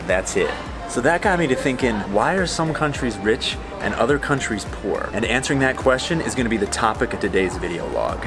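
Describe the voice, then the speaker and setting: A man's voice: mid-range voice, expressive, over music. A man in his thirties with an American accent, vlogging casually to a handheld camera in a large airport terminal, joking as he talks. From United States. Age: 30s. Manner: chatty and joking, quick asides. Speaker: travel vlogger. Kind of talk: casual vlog talk to camera. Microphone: handheld camera at arm's length.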